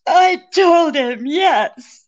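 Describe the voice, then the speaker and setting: A duplicitous femme fatale in a radio play. Her high voice falters slowly and pleadingly, on slightly muffled audio. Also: expressive, full character voice. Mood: desperate pleading. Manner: breathless, halting, imploring. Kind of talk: old-time radio drama acting.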